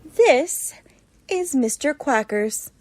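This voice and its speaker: High-voiced daffy woman, acted. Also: lively intonation, extremely slow, a little mellow, full character voice. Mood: proud. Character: defensive, daffy young woman with an emotional support duck. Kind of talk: scripted audio comedy skit.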